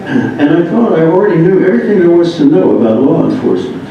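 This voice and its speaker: A man reads a written first-person memoir aloud, halting at times. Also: big pitch swings. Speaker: reader of a memoir. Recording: muffled, very echoey room, distant mic, rumbling noise.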